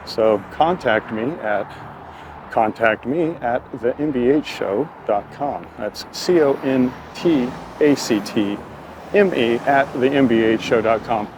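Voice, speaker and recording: A man's voice: mid-range voice, takes it slowly, noisy background. A man hosting a casual walking vlog outdoors, talking in an informal, rambling, stop-and-start way. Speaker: host of his own vlog. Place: outdoors on a walk by a road.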